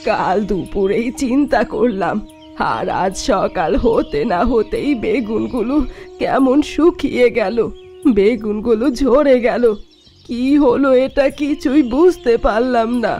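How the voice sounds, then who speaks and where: A worried village mother from a cartoon speaks softly and pleadingly, voiced by a woman. Her voice sits a little high. Light music plays under her. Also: measured pace. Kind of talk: Bengali cartoon voice acting.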